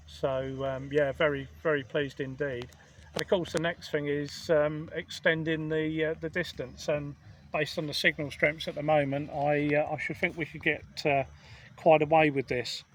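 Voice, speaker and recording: A man chatting as an amateur radio operator, speaking in a hesitant, measured way. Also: on the high side, little pitch movement. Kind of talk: casual radio contact chat. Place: outdoors at a portable radio station. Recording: clear outdoor background noise.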